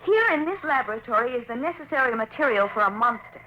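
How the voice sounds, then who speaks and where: A woman's voice: fairly high pitch, lively intonation, fast talker, pushed and bright. An actress playing an anxious, earnest young woman, a scientist's daughter, in a 1940s suspense radio drama, with a dramatic delivery. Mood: intense, earnest. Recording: noisy old radio broadcast recording.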